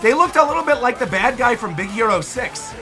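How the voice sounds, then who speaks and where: A man hosting a casual reaction show, commenting on game trailers in an animated, exclaiming way with playful mock outrage. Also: extremely high pitch, brisk, music in the background.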